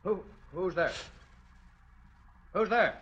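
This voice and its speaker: A man's voice: medium pitch, lively intonation, moderate pace, breathy edge, highs cut off. A man acting a wary husband and father in a 1940s radio drama. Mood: alarmed. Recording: noisy old radio broadcast recording.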